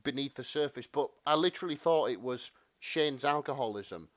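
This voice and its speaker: A man's voice: medium pitch, normal speed, dry recording. Casual male co-host, dry sound. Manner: sweary, informal. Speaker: podcast co-host. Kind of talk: casual chat, reacting to a story.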